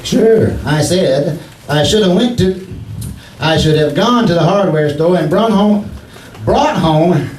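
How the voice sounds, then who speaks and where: A man's voice: a little high, lively intonation, takes it slowly, a little mellow. A man playing a cocky, bumbling husband with slangy, mock-pompous talk, in 1940s radio comedy style. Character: blustery, cocky, folksy husband, bad at grammar. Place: theater stage before an audience. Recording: echoey live stage, distant mic, noise.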